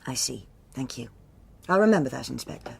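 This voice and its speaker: An actress playing a stern, self-assured senior policewoman with a British accent, speaking in a measured, formal and authoritative way in a radio drama. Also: extremely low pitch, animated, creaky, heavy background noise, full character voice. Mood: formal, cool. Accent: British English.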